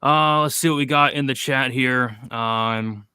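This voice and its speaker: A man's voice: mid-range voice, a little slow, bright, forceful tone, smooth, dry recording, clean studio sound. A man in his twenties hosting a casual betting livestream, reading chat questions and joking loosely. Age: 20s. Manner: loose, jokey, reads chat questions aloud. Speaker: host of a betting show.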